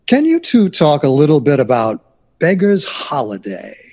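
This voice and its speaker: A man's voice: on the high side, huge pitch swings, normal speed, a little mellow, heavily acted. A man playing a genial 1960s jazz radio show host in an audio drama. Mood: welcoming. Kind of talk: radio interview acting.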